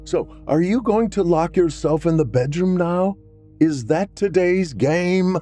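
A man's voice: lowish voice, big pitch swings, measured pace, warm tone, faint music behind, voice pushed up. A man voicing a brooding, self-pitying vampire man three centuries old, melodramatic and anguished. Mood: bitter.

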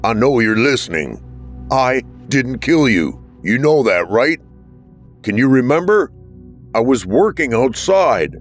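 A man voicing a worn-out, desperate handyman who pleads and explains, pitched well above his own voice. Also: very animated, a little slow, faint music behind. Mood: pleading. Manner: weary, pleading.

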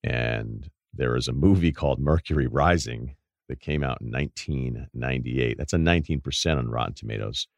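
A man with a deep voice hosting a podcast, telling rambling stories in casual chat and laughing at his own anecdotes. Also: normal speed, vocal fry, dry recording. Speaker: podcast host.

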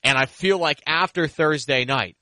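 Man, podcast host, bright forceful voice, close dry sound, emphatic sports patter. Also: a little high, moderate pace, no echo. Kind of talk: sports talk and host-read ad.

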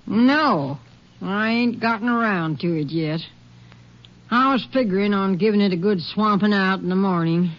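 A woman's voice: mid-range voice, lightly acted. An actress playing a plain-spoken, folksy landlady with an American accent in a 1950s radio western. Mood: folksy, willing. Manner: folksy, drawn-out rustic talk. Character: plain-spoken, folksy rooming-house landlady with a bad back. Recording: dull vintage 1950s radio recording.

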